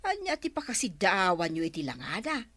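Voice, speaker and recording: A woman's voice: medium pitch, wildly swooping pitch, fairly quick, fairly bright tone, no echo. A woman acting an inquisitive, reproving village councilwoman in an Ilocano radio comedy, with an Ilocano accent.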